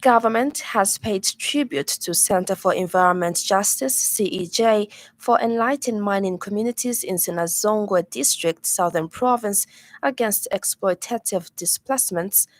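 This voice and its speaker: A woman news anchor reading a radio news bulletin in a measured, formal delivery from a studio. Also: mid-range voice. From Zambia.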